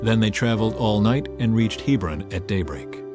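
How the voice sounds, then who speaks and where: A man narrating scripture as a dramatized audiobook, in a measured, solemn storytelling manner. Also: lowish voice, lively intonation. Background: music.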